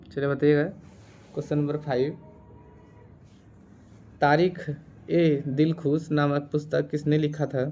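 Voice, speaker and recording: Man, quiz teacher, monotone, deliberate question-and-answer reading, noticeable background noise. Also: a little high. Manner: repeats each answer deliberately.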